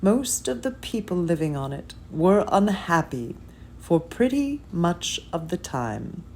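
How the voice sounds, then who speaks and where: Slow, low-pitched woman reading a story, noisy recording. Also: very animated, darkish tone. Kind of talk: scripted narration, literary reading of comic science fiction.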